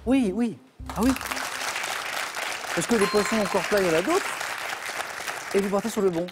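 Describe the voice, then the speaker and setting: Lively quiz show host, man. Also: high-pitched, normal speed, a loud crowd, heavy background noise. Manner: lively, jokey, reads questions then banters with contestants. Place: TV studio.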